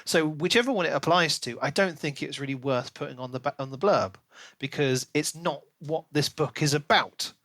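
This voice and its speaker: Guy podcast host chatting about books, slightly high voice, animated asides, dry close sound. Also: moderate pace, no echo. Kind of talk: casual book review discussion.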